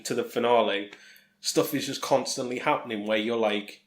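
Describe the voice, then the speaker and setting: A man's voice: mid-range voice, fairly even intonation, normal speed. A British man hosting a podcast in casual, rambling, jokey chat from a room at home. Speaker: podcast host. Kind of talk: casual chat between friends.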